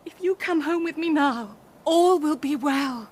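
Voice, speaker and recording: An actress playing an anxious, secretive wife in a period radio drama, halting and breathless. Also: female voice, high voice, a little mellow. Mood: desperate, pleading. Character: anxious, secretive wife pleading with her husband.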